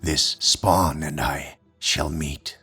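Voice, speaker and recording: A man voices a pious comic-book villain with an extremely low voice. He speaks formally and solemnly, with a hard, sharp edge, over music. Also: fairly even intonation, extremely slow, breathy edge, exaggerated voice. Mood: solemn devotion. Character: devout, zealous male villain, wealthy and solemnly pious. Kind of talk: comic dub acting.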